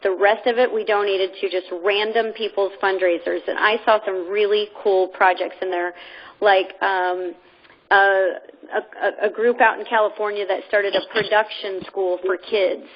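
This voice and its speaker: A woman's voice: medium pitch, fairly even intonation, moderate pace. Female call host, informal and persuasive, conversational rambling delivery, muffled telephone sound. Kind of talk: informal motivational pitch. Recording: muffled, band-limited conference phone line.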